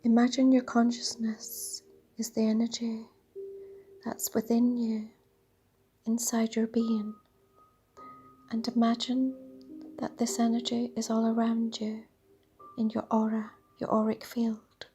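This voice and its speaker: A woman leading a guided meditation in a dreamy, soothing, half-whispered voice with drawn-out pauses. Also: on the high side, monotone. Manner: breathy, half-whispered delivery. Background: soft sound bath music.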